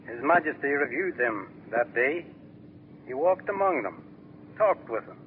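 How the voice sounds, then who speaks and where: An actor playing a proud, captured country newspaper editor, reminiscing earnestly in a 1940s radio drama. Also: male voice, mid-range voice, big pitch swings, unhurried, a little hiss or hum. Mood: proud, nostalgic. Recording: tinny 1940s radio recording with music.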